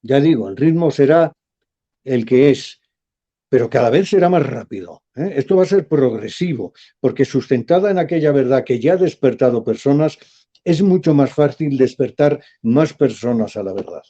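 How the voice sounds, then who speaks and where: A man, a professor speaking as a guest in a radio interview, measured and emphatic. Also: mid-range voice, animated, mellow, lo-fi sound.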